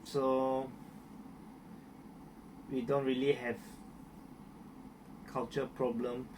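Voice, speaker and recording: A man's voice: mid-range voice, level tone, very slow, a little mellow, distant mic, slight background noise. A young man from Malaysia with a Malaysian accent, answering interview questions in a hesitant way with many restarts and fillers.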